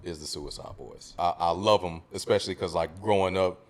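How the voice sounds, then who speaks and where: A man's voice: deep voice, a little fast. An American man from the South hosting a casual music discussion, talking emphatically and repeating phrases for stress. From southern United States. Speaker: podcast host.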